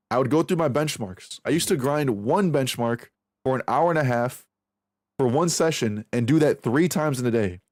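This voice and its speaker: Young male streamer, mid pitch, loose and profane rambling, close dry sound. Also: darkish tone, no room sound. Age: 20s. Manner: rambling, profane, self-reflective, restarts a lot. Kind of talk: casual stream chat while aim training.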